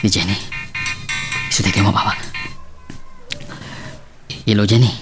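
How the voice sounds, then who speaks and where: A man's voice: on the low side, steady tone, unhurried, over loud music, close to an everyday voice. A man acting an evasive married man and sheepish son in an Ilocano audio drama. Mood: casual.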